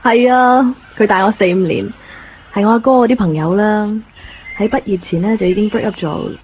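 A woman's voice: medium pitch, normal speed, slight background noise, lightly acted. A woman acting a composed, understanding young girlfriend in a radio drama, with a Hong Kong Cantonese accent. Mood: calm, confiding. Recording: muffled radio drama recording with music.

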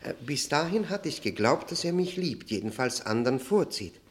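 An actor playing a haunted, agitated man confessing his past in a German radio drama, halting and brooding, swinging from emphatic outbursts to near whispers. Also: medium pitch, sing-song, lightly acted. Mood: troubled, intense.

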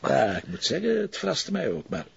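A gruff Flemish police commissioner in a television crime drama. His voice is gravelly and swings widely in pitch, dry and teasing yet decisive, on a slightly muffled soundtrack. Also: huge pitch swings, fairly quick, no room sound, full character voice. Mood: wry, confiding. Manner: dry, sardonic. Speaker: actor in a police series. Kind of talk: naturalistic TV drama dialogue.

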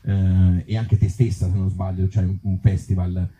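A man with a Tuscan accent talking informally at a live event as an actor and author, in halting, circling phrases with blunt joking asides. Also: sits low, level tone, mellow. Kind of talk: informal live talk with the audience. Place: outdoor evening event in an olive grove.

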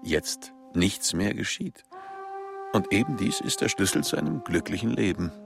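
A deep-voiced man reflects like a philosopher in a radio play. His voice is gravelly, with lively intonation, and music plays behind him. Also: moderate pace. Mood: reflective. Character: wry, philosophical male storyteller reflecting on time. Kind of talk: literary radio drama monologue. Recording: music under the voice.

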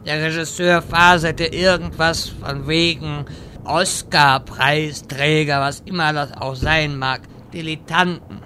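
A vain, theatrical wizard acted by a man in a parody audio drama. His voice is bright and forceful, set a little high, and he speaks in pompous, stilted phrases. Faint noise sits behind him. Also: fairly even intonation, slow pace. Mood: offended. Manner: grandiloquent, stilted wording. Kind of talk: parody radio-play acting.

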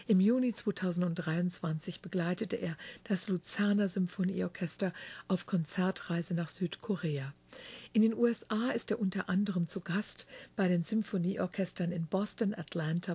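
A woman's voice: mid-range voice, warm tone, husky, dry recording. A woman radio host reading a guest's biography and interviewing him, chatty, with small slips and self-corrections. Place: backstage dressing room.

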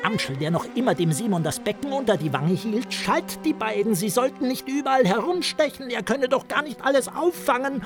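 A man's voice: very high voice, lively intonation, a little fast, few pauses. Lurid male tale-spinner, German radio drama, swinging intonation, insinuating murmurs, prominent music behind. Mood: agitated, relishing. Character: Herr Hund, a man spinning lurid hateful tales with relish. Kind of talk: German radio play storytelling.

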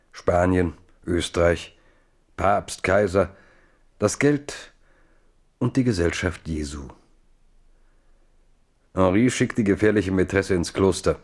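Deep-voiced solemn chronicler. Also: male voice, a little mellow. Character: solemn chronicler of a king's history. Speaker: narrator. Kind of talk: scripted radio play narration.

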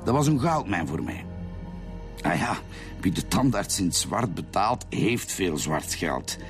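Menacing Flemish robber, swooping low voice. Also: male voice, sing-song, unhurried, heavily acted. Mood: matter-of-fact confession. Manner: menacing, matter-of-fact about violence. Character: cold, menacing male armed robber, confessing or threatening. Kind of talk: radio drama acting. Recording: music behind the voice.

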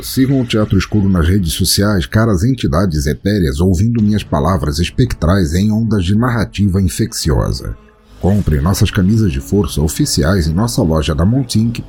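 A man's voice: deep voice, warm tone. A Brazilian man hosting a podcast, reading a scripted introduction in a grandiloquent, tongue-in-cheek theatrical manner. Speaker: podcast host.

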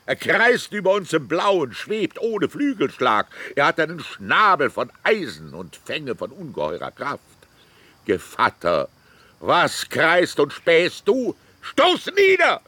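A grandiose, imperious older gentleman in a radio drama. His voice is husky and bright, swinging wildly in pitch. He declaims slowly in broken-off phrases and theatrical outbursts. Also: wildly swooping pitch, no room sound, heavily acted. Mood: ecstatic, commanding.

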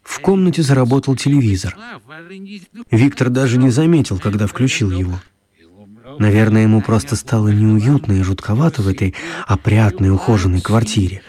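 A man's voice: on the low side, normal speed, warm tone. A man narrating a scripted story for a children's radio play.

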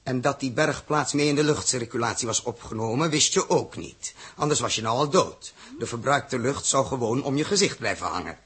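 A man playing a stern, exasperated spaceship captain in a 1960s Dutch radio drama, lecturing with forceful sarcasm. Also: medium pitch, brisk, slightly rough. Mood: sarcastic, accusing.

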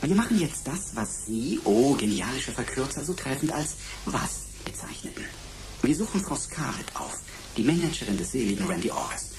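A man's voice: medium pitch, big pitch swings, moderate pace, background noise, close to an everyday voice. Man acting a cheeky, pompous computer, swooping intonation. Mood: pompous, mocking. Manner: pompous, mocking, ornate diction. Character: cheeky, pompous male computer sidekick with florid old-fashioned speech. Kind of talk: radio play acting.

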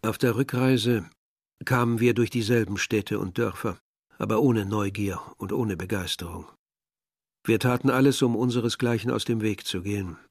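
An actor playing a bitter, brooding young man who narrates a literary radio play in a measured, reflective way, with a husky, gravelly voice. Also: on the low side, steady tone, no room sound, studio quality. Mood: brooding, resigned.

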